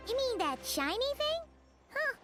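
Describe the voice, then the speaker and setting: A small, excitable fairy sidekick from an anime game. The voice is extremely high, squeaky and childlike, with bouncy, animated pitch. It chatters and exclaims over prominent background music. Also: female voice, a little slow, exaggerated voice. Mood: curious, surprised. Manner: chatty, exclaiming, refers to self in third person. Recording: music behind the voice.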